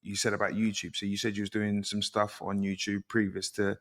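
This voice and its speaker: A man's voice: on the low side, monotone, fairly quick, fairly bright tone, big-room sound. A man with a British accent, interviewing in a casual, chatty way and often rephrasing his questions.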